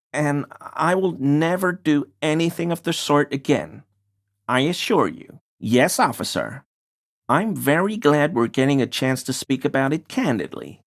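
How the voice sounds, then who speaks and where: An obsequious video game conspiracy theorist with pretensions to scholarship. He speaks slowly and deliberately, with a very animated, rising and falling melody. His manner is deferential and wheedling, full of insinuation. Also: medium pitch. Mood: nervous, placating. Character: obsequious, insinuating male conspiracy-minded amateur researcher. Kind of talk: video game character dialogue.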